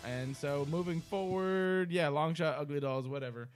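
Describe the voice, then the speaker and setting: A man with a slightly higher-than-average voice co-hosts a casual podcast. He talks loosely and rambles from thought to thought, swearing freely as he goes. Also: normal speed, faint music behind. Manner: rambling, profane. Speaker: podcast co-host. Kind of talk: casual uncensored podcast chat.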